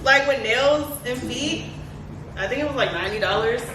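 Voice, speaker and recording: A woman with a fairly high voice chats casually on a podcast panel and leans hard on "like." Her voice rings in a room with steady noise. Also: moderate pace. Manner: chatty, full of "like" fillers. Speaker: panel guest. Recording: echoey room, noisy.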